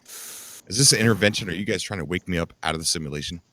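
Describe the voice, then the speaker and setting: A man's voice: low voice, quick pace, a crowd in the background. An American man hosting a casual live group chat in a bantering, conversational way. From Ohio and Florida, United States. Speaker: stream host.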